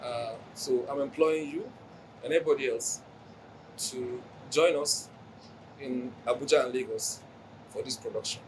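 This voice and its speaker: A Nigerian man makes a deliberate promotional appeal in broken phrases. His pitch is average, and a clear hum sits behind the voice. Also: fairly even intonation.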